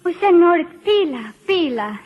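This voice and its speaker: Sweet devoted mexican girl, high pleading voice, simple english, radio play. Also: sing-song, normal speed, muffled recording. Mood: urgent, pleading. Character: devoted, anxious young Mexican girl protecting a wounded man. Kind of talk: 1940s radio drama acting. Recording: old radio broadcast recording.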